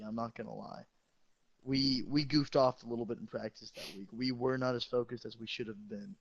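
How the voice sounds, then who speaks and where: A man's voice: mid-range voice, gravelly, dry recording. Dull lo-fi teenage guy swapping stories. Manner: profane, boastful, amused at own stories. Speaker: high school football player telling stories. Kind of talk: casual storytelling chat. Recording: dull lo-fi sound.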